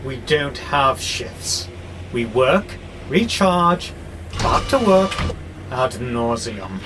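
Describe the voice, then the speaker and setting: An actor playing a belligerent, sardonic warehouse robot who grumbles with exasperation in an audio drama. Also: male voice, mid-range voice, very animated, drawn-out words, background noise. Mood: grudging. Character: grumpy, belligerent male warehouse robot, war-hungry, wary.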